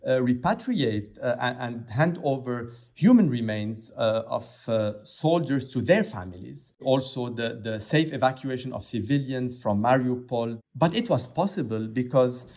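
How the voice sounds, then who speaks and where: A man from Lebanon, the head of an aid agency, answering interview questions in a halting way with many filler sounds. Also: mid-range voice, expressive, darkish tone.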